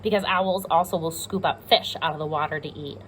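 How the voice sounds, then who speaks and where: A woman's voice: deep voice, fairly even intonation, fairly quick, a bit bright, slight background noise, poor audio. A woman with an American accent reading a picture book aloud to young children, explaining simply and asking them questions as a pre-K teacher.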